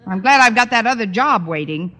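An actress playing a wry, quick-witted female schoolteacher, arch and wisecracking in an old-time radio comedy. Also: a little high, animated, pushed and bright. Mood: indignant, sarcastic. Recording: noisy old radio recording, reverberant hall.

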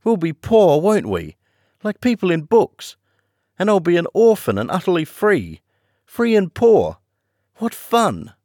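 A man voicing an excited, flighty rich young woman who talks in a breathless, gushing way. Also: on the high side, moderate pace, dry recording, studio quality, pitched above the performer's own voice. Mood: gleeful.

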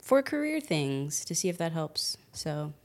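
A woman's voice: sits low, big pitch swings, measured pace. A woman co-hosting a podcast, chatting casually and thinking aloud with fillers. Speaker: podcast co-host. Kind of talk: casual chat.

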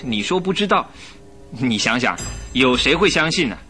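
A man's voice: mid-range voice, big pitch swings, moderate pace, sharp tone, faint music behind. An actor playing a cocky, insistent police inspector in a radio drama, emphatic and pressing as he questions. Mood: pressing, suspicious. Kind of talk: Chinese radio drama acting.

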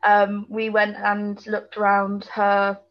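Female British podcast co-host, chatty and teasing, casual banter, mid pitch, slightly dulled audio. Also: completely flat delivery, slow pace, highs cut off. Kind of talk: casual banter about a TV episode.

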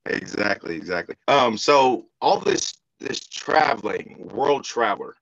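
A man's voice: fairly low pitch, expressive, measured pace, vocal fry, fairly bright tone, no room sound, highs cut off. A man hosting a podcast in casual, slangy, joking interview chat, teasing his guest informally. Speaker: podcast host.

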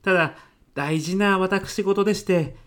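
A man's voice: high-pitched, measured pace, fairly bright tone. A man playing a meek, very polite male office worker in a comedy radio drama, hesitant and deferential to the boss. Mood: apologetic.

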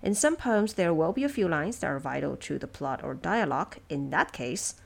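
Low-pitched woman presenting scripted notes. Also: gravelly. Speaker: audio drama producer and presenter. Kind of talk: scripted explanatory narration of production notes.

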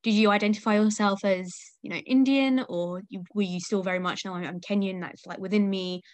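A young woman hosting a podcast interview. She talks in a friendly, chatty way and asks her guest gentle questions. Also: mid-range voice, fairly even intonation, brisk, no echo. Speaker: podcast host. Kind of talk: casual interview.

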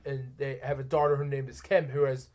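A man's voice: mid-range voice, level tone, fairly quick, darkish tone. A man in his early twenties talking earnestly and eagerly in a casual conversation, often repeating his words. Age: 20s.

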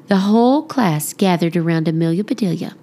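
A woman reading a children's story aloud as expressive narration for young listeners. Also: fairly low pitch, brisk. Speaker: podcast storybook reader.

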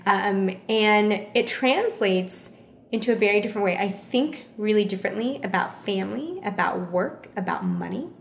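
A woman, born in the United States with Vietnamese as her first language, answering interview questions in a thoughtful, measured way and repeating phrases for emphasis. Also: medium pitch, expressive, gravelly, echoey room, slight background noise. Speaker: interview guest.

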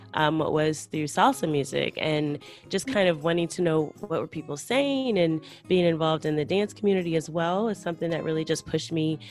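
Lower-voiced woman hosting a podcast. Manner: friendly, chatty, many fillers. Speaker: podcast host. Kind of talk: casual interview chat.

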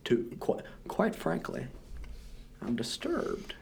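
A young man co-hosting a podcast, teasing and joking in casual banter, with a deep voice while a little sick. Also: slow, a little hiss or hum. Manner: teasing, joking, self-mocking. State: slightly sick. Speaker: podcast co-host.

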